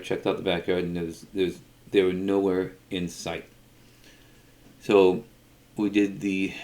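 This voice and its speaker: A middle-aged man telling an unscripted personal story in a halting way, often repeating words as he goes. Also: low-pitched, creaky, darkish tone. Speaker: storyteller, video creator.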